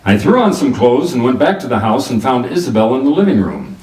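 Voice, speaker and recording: Man playing a wry detective, lively, roomy sound. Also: medium pitch, fairly quick, lightly acted. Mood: wry narrating. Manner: dry, sardonic, colloquial. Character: wry, wisecracking male private detective. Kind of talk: hardboiled radio drama narration and dialogue. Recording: roomy, distant microphone.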